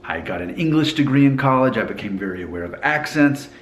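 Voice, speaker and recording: A man of middle pitch talks in a relaxed, conversational way, his neutral American accent carrying only the faintest Southern trace. He muses aloud and turns questions back to the listener. The room is echoey, with a faint hum of background ambience. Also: fast talker. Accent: mostly neutral American with a faint Southern hint. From Alabama, USA. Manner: conversational, musing, asks the viewer questions. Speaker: vlogger. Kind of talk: casual talk to camera.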